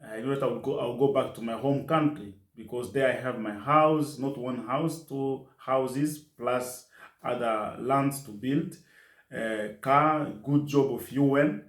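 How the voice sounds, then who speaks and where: A middle-aged man from Congo speaks English, his being French. His voice sits at a middle pitch and he talks earnestly, circling back and repeating phrases as he goes. The recording is roomy, with clear echo. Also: fairly even intonation, unhurried. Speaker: interview guest. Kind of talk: casual storytelling interview.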